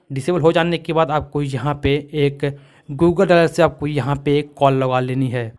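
A man from India narrating a step-by-step phone tutorial as its host, in a repetitive, instructional way. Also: a little high.

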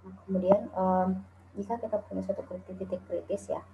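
A woman's voice: medium pitch, fairly even intonation, fairly quick, vocal fry, noisy background. A woman from Indonesia giving a recorded calculus lecture over slides, explaining step by step with frequent "eh" and "nah". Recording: tinny phone-like lo-fi audio.

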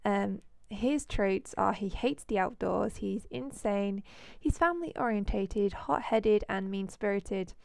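A woman with a cold, hoarse and losing her voice, chatting casually as she shows off characters in a life-sim game. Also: mid-range voice, takes it slowly, hardly any pauses, no room sound, studio quality. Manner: chatty, rambling with fillers. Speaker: let's play host.

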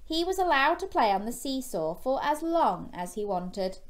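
A young woman reading a children's storybook in a gentle, expressive way, chatting with young listeners through questions. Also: sits high, moderate pace. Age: young adult. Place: small bedroom, indoors.

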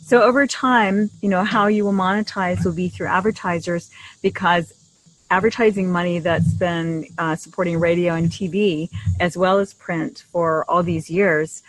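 A woman's voice: fairly low pitch, fairly even intonation, normal speed, no room sound, low-quality recording. A middle-aged woman co-hosting a podcast, explaining things conversationally into a desktop microphone outdoors. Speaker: podcast co-host. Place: outdoors in a leafy garden. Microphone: desktop condenser microphone shared between two.

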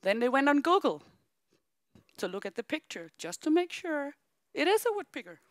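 Woman keynote speaker, lively storytelling, higher voice, swinging pitch, dry close sound from a handheld mic on a big theater stage. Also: moderate pace, no room sound. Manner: animated, acts out voices in quotes. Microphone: handheld microphone.

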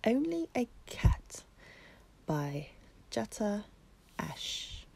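A woman narrates a children's storybook with very lively intonation. Her pace is unhurried and deliberate, and there is noticeable fry in her voice. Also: medium pitch, whispered.

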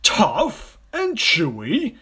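A man voicing an enormous, greedy, boastful crocodile from a children's storybook, relishing each slow, drawn-out word with a sly menace and breathy hisses. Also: high-pitched, huge pitch swings, pushed and bright, pitched above the performer's own voice, full character voice. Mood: scornful.

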